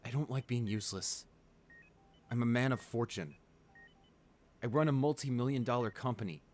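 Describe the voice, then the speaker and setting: A man performs a rich, devoted client keeping vigil beside his comatose lover in an ASMR roleplay. His mid-range voice is intimate and emotional, pleading in halting phrases. Background noise sits under the words. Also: medium pitch, darkish tone, close to an everyday voice. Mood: wistful, tender. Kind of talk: ASMR boyfriend roleplay, dramatic monologue.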